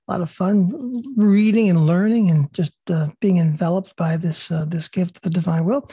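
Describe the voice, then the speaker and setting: A woman hosts a small online faith group over a video call, moving from steady, measured recited prayer to loose, filler-filled chat. Her voice is mellow and a little husky, and the sound is close and dry, with no room around it. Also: medium pitch, dry recording. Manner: calm and measured, with many fillers and restarts in chat. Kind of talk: leading prayers, then casual group chat.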